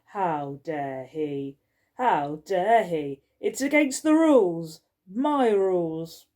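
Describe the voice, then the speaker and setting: A pompous, furious older housekeeper, as a woman storyteller puts her on, stretching every outraged word into a slow scold. The voice jumps up high when her temper flares and drops low when she fumes. She sounds like a stern ruler of the servants' corridor in a storybook. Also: lively intonation, warm tone. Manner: indignant, drawn-out scolding.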